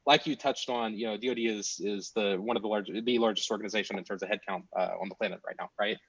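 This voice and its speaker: A man hosting an interview, asking long questions with hesitant pauses and restarts. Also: on the low side, fairly even intonation, breathy edge, dry recording. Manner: hesitant, many "uh" and "you know" restarts. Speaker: interview host. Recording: dull dry audio.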